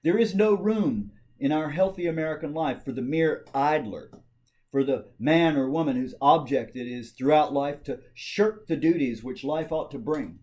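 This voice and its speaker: A man with a voice pitched a little above average performs a historical political speech. He declaims it formally, with dramatic emphasis and carefully measured phrasing. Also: a little mellow. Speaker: amateur reader of a historical speech.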